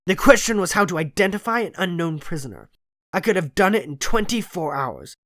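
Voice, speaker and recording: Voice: mid-range voice, sing-song, a little fast, fairly bright tone, pitched above the performer's own voice. A narrator playing a conceited, analytical male detective in an audiobook, lecturing with self-assured disdain. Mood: angry, scornful. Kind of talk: audiobook character voice in classic detective story.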